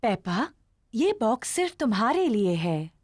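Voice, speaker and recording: A kindly cartoon mummy pig. Her voice is fairly high with animated pitch, recorded dry. The manner is motherly, patient and gentle. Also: unhurried, no room sound. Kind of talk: children's cartoon dub.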